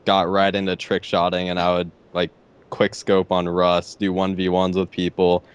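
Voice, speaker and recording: A teenage boy with a deep voice, answering interview questions hesitantly and filling pauses with "like" and "I don't know.". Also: completely flat delivery, clear, resonant tone. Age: teens. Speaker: interviewee, gamer.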